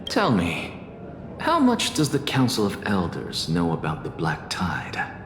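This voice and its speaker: A man's voice: low-pitched, huge pitch swings, a little slow, noisy background, heavily acted. A man playing an arrogant scholar in a fantasy game, speaking formally with dry, sardonic wit. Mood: dry, curt. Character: arrogant, sardonic male scholar and professor, blasphemous. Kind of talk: fantasy video game acting. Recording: echoey reverberant hall with music behind.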